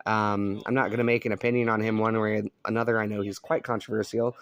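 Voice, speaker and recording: A man's voice: fairly low pitch, level tone, fast. An American man from Colorado giving casual, laid-back vlog commentary as a radio hobbyist, recorded on a cell phone. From Colorado, United States. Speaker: shortwave radio hobbyist and video host. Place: indoors at a home on a rural property.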